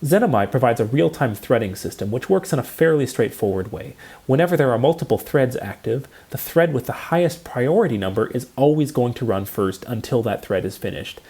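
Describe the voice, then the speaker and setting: A man giving a scripted technical lecture, explaining in a measured and precise way. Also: medium pitch, animated, darkish tone, breathy edge.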